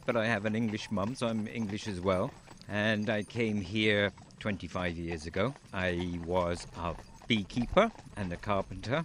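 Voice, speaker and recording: A man who grew up in Wales, talking about his farm in an easygoing way with dry humour during an outdoor interview. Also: fairly low pitch. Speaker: interviewee, farmer and beekeeper. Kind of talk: relaxed outdoor interview chat. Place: outdoors on a farm, in a horse-drawn carriage. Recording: faint outdoor noise, geese nearby.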